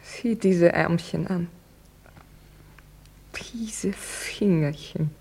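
Casting: grieving young mother, hushed low murmur, slow and breathy, old radio drama. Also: heavily acted. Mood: despairing, tender. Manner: whispering, drawn-out. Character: sorrowful, tender, despairing young mother with a baby. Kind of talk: 1950s German radio play acting.

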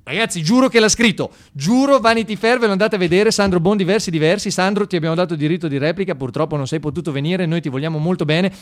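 A man doing a comic theatrical monologue in a conversational, halting and mock-serious way, often repeating himself. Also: sits high. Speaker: satirical stage monologist on radio.

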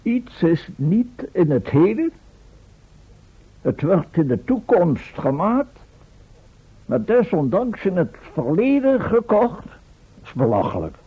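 Excitable, high-voiced, self-important professor. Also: male voice, takes it slowly, pauses often, breathy edge, full character voice. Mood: indignant. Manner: blustering, argumentative. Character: indignant, self-important male professor and inventor of time machine. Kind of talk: radio play acting. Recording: dull old radio recording.

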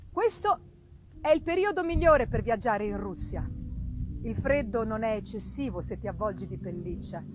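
A woman's voice: on the high side, natural voice, rough recording. A woman voicing an ardent male explorer writing to his sister, in an emphatic, declamatory dramatic reading. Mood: proud, resolute. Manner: declamatory, emphatic, with swelling and falling phrases. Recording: live reading over music. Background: live musical accompaniment.

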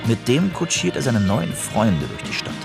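A man's voice: mid-range voice, very animated, moderate pace, gravelly, over music. A man narrating a scripted radio documentary.

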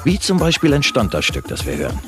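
An actor playing a slick, deferential radio talk show host in a satirical play, polite and hedging, with showy presenter patter. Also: male voice, medium pitch, huge pitch swings, fast talker, loud music behind the voice. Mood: showy, probing.

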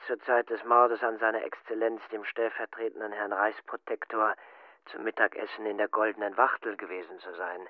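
An actor playing a menacing, coldly polite Gestapo colonel in a radio play, questioning in a clipped, officious manner with diction. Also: male voice, on the low side, level tone, normal speed, narrow, tinny audio, close to an everyday voice. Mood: businesslike. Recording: radio play with music underneath.

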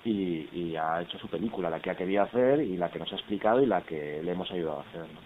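A man's voice: sits low, quick pace. A male actor talking casually and colloquially at a press conference, rambling with tags and quoted asides. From Iberian Peninsula. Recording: muffled, hissy phone-like audio.

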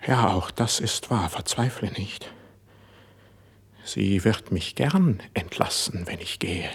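An actor playing a wounded, proud young poet in a classical German radio verse drama, with husky, solemn, declamatory diction. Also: male voice, on the low side, slow pace, exaggerated voice. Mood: bitter, brooding.

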